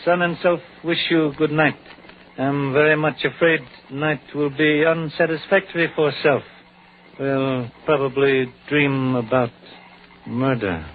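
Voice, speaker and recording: A man playing a calm, methodical middle-aged Chinese detective in an old radio drama, speaking in measured, clipped sentences that drop small words. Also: fairly high pitch, natural voice. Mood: polite, weary. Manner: measured, clipped speech dropping articles and pronouns. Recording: tinny, hissy vintage radio recording.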